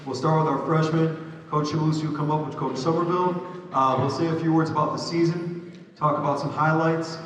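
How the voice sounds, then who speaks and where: A man's voice: a little high, steady tone, brisk, a bit bright. A man emceeing a sports banquet, laying out the awards step by step. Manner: organized, explains step by step. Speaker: basketball program head coach, emcee. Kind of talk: banquet announcements. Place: large echoey hall. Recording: distant, echoey hall recording.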